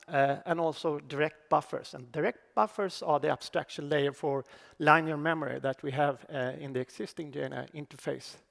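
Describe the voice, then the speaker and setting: A middle-aged man with a Swedish accent giving a technical conference talk on stage, speaking haltingly with many fillers and restarts. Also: a little high, creaky. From Sweden. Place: large conference hall on stage. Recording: reverberant conference hall.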